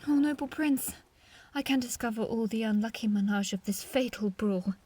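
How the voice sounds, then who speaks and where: A woman's voice: a little high, normal speed, dark tone, husky, no room sound. A woman playing an anxious, loyal friend who witnesses a brawl, urgent and emphatic in a Shakespeare audio drama. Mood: pleading.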